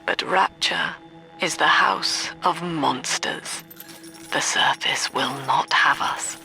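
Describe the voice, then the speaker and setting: Casting: cerebral middle-aged female cult leader, video game. Slow, measured, oratorical, gravelly edge. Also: low voice, sharp tone, whispered, faint music behind, exaggerated voice, rough recording. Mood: accusing, grim. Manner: measured, solemn, precisely enunciated, oratorical. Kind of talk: dramatic video game monologue.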